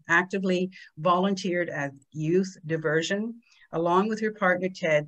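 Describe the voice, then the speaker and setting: A woman's voice: low voice, fairly bright tone, rough recording. A woman host reads out a speaker's biography, corrects a slip and adds a friendly aside. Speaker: host introducing a guest. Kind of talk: reading a speaker introduction.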